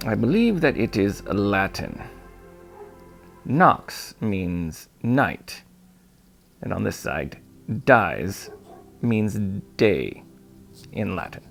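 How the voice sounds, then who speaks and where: A kindly old shopkeeper played by a man reading an audiobook, very gravelly and slow. He speaks with gentle, old-fashioned courtesy, his pitch rising and falling like a born storyteller's. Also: very animated, faint music behind, exaggerated voice. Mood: storytelling, mysterious. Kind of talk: audiobook character voice.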